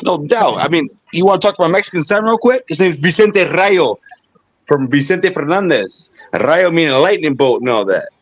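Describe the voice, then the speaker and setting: A man's voice: on the high side, expressive, normal speed, no room sound. A man hosting a casual livestream chat, joking and swearing, dropping in bits of Spanish. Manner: joking, profane, slips in Spanish. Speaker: livestream host. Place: home with a balcony.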